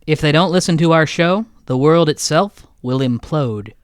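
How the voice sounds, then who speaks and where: A man speaking as an interview guest, an audio drama creator with deadpan joking. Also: on the high side, normal speed, clean tone, no room sound, studio quality. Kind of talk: interview conversation.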